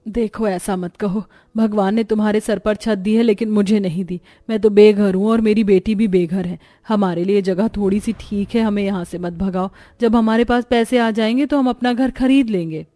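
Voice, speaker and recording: A woman voicing a poor, long-suffering mother in a cartoon, speaking softly and plaintively. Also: mid-range voice, steady tone, moderate pace, hardly any pauses, dry recording, close to an everyday voice. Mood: pleading.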